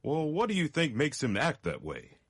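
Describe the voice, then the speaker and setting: A man playing a plain-spoken, uneasy cowboy in a dramatic story reading, earnest and expressive. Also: a little high, moderate pace, slightly rough, full character voice. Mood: puzzled, shocked.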